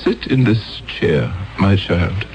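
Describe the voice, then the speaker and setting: An actor playing a solemn, coldly insistent elder in a 1970s radio drama, speaking in measured, commanding phrases. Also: male voice, deep voice, very animated, a little mellow, slight background noise, exaggerated voice. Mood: calm, persuasive. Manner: measured, commanding, deliberate pauses.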